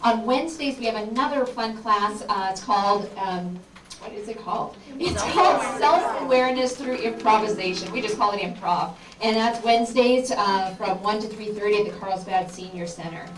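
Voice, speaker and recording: A woman's voice: mid-range voice, normal speed. A woman speaking as class instructor and host, making informal announcements to an audience in a community center room. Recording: echoey room, distant mic, clear noise.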